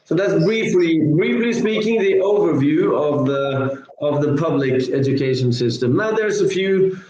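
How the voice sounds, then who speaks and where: A man from Norway speaks as a guest over a video call, and his voice sits a little high for a man. He explains things at length, stopping often to restart. The sound carries a noticeable room echo. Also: lively intonation, a little slow, a little mellow, lo-fi sound, slight background noise. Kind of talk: casual online interview.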